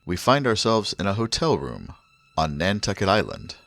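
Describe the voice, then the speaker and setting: A man's voice: low-pitched, very animated, moderate pace, creaky, background noise. A man hosting a radio program as a theatrical, showy old-time announcer reading a script.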